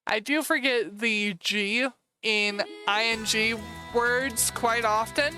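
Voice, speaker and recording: A woman's voice: a little high, slow pace, over music. A woman with a Michigan accent streaming live from home, chatting casually and rambling with lots of "like" and "you know".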